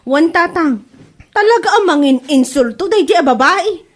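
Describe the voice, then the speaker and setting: A woman acting an aggrieved, insulted friend in a comedy drama. Her voice is very high and swings widely as she scolds and complains with open indignation. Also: lively intonation, normal speed. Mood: indignant, complaining.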